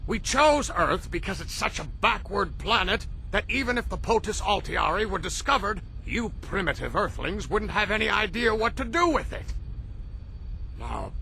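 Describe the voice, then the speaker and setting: A man's voice: high-pitched, animated, moderate pace, vocal fry, sharp tone, a little hiss or hum, exaggerated voice, low-quality recording. An actor playing an arrogant ancient male alien scientist who lectures in a condescending way, in a video game cutscene. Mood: haughty. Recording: game cutscene with music score.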